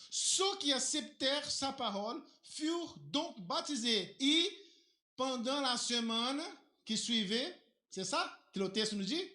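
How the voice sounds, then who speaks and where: A man preaches a sermon whose pitch swings widely as he throws rhetorical questions at his congregation. He speaks as a native Portuguese speaker, and his voice rings through an echoey church hall. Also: sharp tone. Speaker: pastor.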